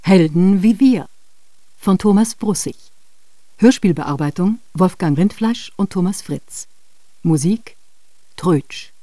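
Radio credits announcer: woman, unhurried, soft dark tone, dry studio sound. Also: on the low side, no echo.

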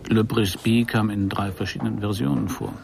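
An actor playing a world-weary, hard-up private detective who narrates a crime radio play in a laconic, brooding way with a gravelly voice. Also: male voice, lowish voice, fairly even intonation, normal speed, a crowd in the background. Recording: studio radio play with music behind.

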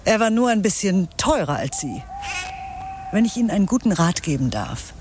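A woman's voice: medium pitch, sing-song, moderate pace, background noise, natural voice. Guarded, coolly menacing actress as a woman. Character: cool, evasive, quietly menacing woman with secrets. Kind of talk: modern radio drama acting.

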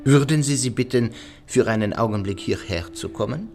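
An actor playing a shrewd, courteous older detective who questions politely and probingly in a radio crime drama. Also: male voice, medium pitch, normal speed, a little mellow, slight background noise, close to an everyday voice. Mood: courteous, pensive.

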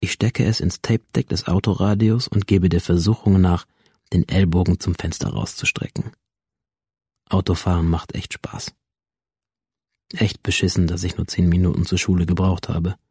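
A sullen young school shooter in a modern radio play. His voice is low and mellow, recorded very dry. He is cynical and defiant, sliding between introspective narration and coarse, sardonic retorts. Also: little pitch movement, moderate pace, husky, no room sound, heavily acted. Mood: wistful. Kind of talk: modern radio drama acting.

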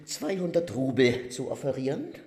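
Man acting a theatrical, swooping foreign gentleman. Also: medium pitch, lively intonation, moderate pace, natural voice. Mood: florid courtesy. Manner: florid, theatrical, switching to brusque. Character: foreign gentleman, effusively courteous, abruptly menacing. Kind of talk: radio drama acting.

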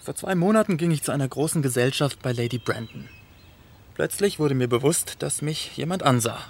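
A devoted, secretive painter acted by a man in a radio play. He speaks earnestly and confessionally at a middling pitch, over faint background ambience. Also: animated, fairly quick, a little hiss or hum, close to an everyday voice. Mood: reluctant, confiding. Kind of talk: German radio play acting.

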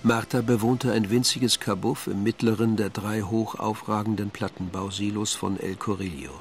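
An actor as the detached narrator of a German spy radio play, delivering terse, measured scripted storytelling. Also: male voice, on the low side, steady tone, soft background music, lightly acted.

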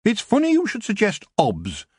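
A man voicing a fussy, haggling male dwarf broom maker in a storybook reading, with a husky voice. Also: sits high, huge pitch swings, normal speed, a little mellow, no room sound, studio quality, pitched above the performer's own voice, heavily acted. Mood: wheedling. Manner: haggling, complaining.

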